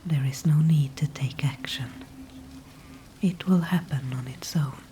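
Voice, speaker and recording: A woman voicing an ancient, calm and wise female tree spirit in an ASMR audio roleplay, speaking only in a soft whisper with formal, old-fashioned phrasing. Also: low-pitched, unhurried, a little hiss or hum. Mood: calm, knowing. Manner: soft unvoiced whisper throughout.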